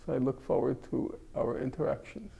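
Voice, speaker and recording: A man's voice: medium pitch, animated, a little fast, vocal fry, mellow, husky. An older man teaching a class in a library room, joking wryly and mocking himself as he talks to students. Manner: wry, joking, self-mocking. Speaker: class instructor. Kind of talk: casual classroom talk.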